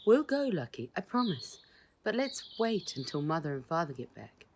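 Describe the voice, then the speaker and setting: A woman's voice: deep voice, big pitch swings, moderate pace, a little mellow, slight background noise. A woman voicing a polite, cautious and caring young girl in a storybook, earnest and hesitant. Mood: reassuring.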